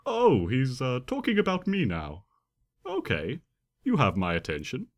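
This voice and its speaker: A man's voice: mid-range voice, wildly swooping pitch, a little slow. A man voicing a flippant, theatrical male chaos creature from a cartoon, glib and playful, in a storybook reading. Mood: bored. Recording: long reverb.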